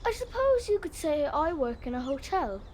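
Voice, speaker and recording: A woman's voice: sits high, sing-song, normal speed, a little mellow, a little hiss or hum. A woman playing a chatty, dreamy, curious schoolgirl in a children's audio drama, with outdoor park sounds and birds behind her. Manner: chatty, earnest.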